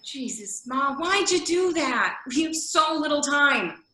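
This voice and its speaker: A woman playing a brisk, exasperated adult daughter in a staged reading, speaking in a hurried, bossy, emphatic way. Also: high-pitched, expressive, bright, forceful tone, roomy sound, heavily acted. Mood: harried, exasperated. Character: brisk, exasperated adult daughter managing her mother's move. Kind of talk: staged reading of a comic drama sketch.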